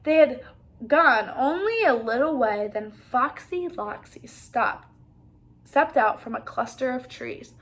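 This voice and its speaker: A woman reading a children's story aloud in an expressive way, pausing to put questions to her young listeners. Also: sits high.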